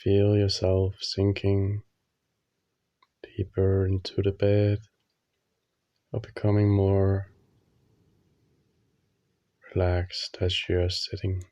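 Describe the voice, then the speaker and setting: A man guides a meditation in a calm, soothing manner. His voice is lowish and very even, with little melodic movement, and he leaves long pauses between phrases. The recording is dull and slightly muffled. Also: no room sound.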